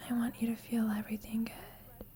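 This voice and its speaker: A young woman playing a shy, lovestruck student roommate in an intimate ASMR roleplay, confiding hesitantly in a soft, breathy whisper. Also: fairly high pitch, completely flat delivery, brisk, close to an everyday voice. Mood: shy, uncertain.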